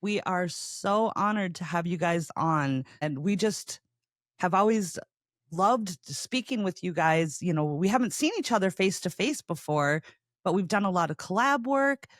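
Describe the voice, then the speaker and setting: A woman's voice: on the low side, animated, unhurried, no echo, studio quality. A woman hosting a podcast in casual conversation, effusive and welcoming with her guests. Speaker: podcast host. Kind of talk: casual chat.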